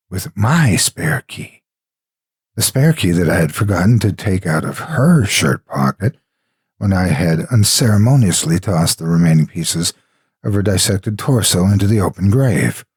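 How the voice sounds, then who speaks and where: Husky deep-ish guy narrator, super raspy, dramatic delivery that swings up and down, storyteller style. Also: no room sound, studio quality. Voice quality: raspy, gravelly. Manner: theatrical, emphatic, drawn-out words. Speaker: horror story narrator and host. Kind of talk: dramatic scripted horror narration and promotional address.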